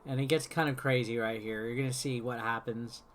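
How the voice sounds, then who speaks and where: A man's voice: mid-range voice, steady tone, fairly quick, a bit bright, slight background noise. A man giving informal, rambling gameplay commentary as a video host, explaining things step by step. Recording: music behind the voice. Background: game music.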